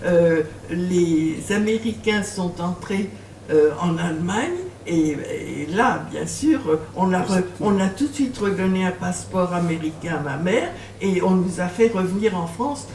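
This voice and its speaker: An older woman recalls her past with halting, filler-laden speech and bursts of laughter. She is picked up from a distance in a reverberant bookshop. A faint hum of noise sits under her voice. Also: lowish voice, a little mellow. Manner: hesitant, many fillers, laughs when telling stories. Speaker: author and guest. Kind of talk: bookshop talk with audience.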